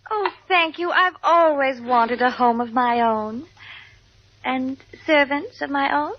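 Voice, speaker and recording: Coquettish, high-voiced young widow. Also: female voice, slow, slight background noise. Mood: coy, delighted. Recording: dull old radio recording with music.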